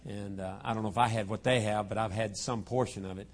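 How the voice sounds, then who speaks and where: Guest preacher, man, a little hoarse, folksy and joking, lapel mic in a church. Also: fairly low pitch, fairly even intonation, quick pace. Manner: folksy, self-deprecating, chuckling asides. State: hoarse from a cold. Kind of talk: joking sermon opening. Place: church auditorium.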